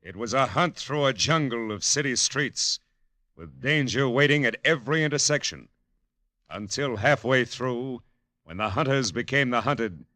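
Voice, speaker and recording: An actor playing a wry, world-weary private detective in a 1940s radio noir drama, with clipped, slangy delivery. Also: male voice, mid-range voice, animated, moderate pace, pushed and bright, no echo. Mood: dramatic. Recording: old-time radio drama recording.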